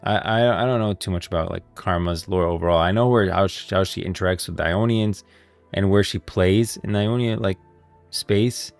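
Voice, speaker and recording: Male streamer, very low voice, casual and rambling lore commentary over faint background music. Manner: rambling, thinking aloud, pauses to read out lines.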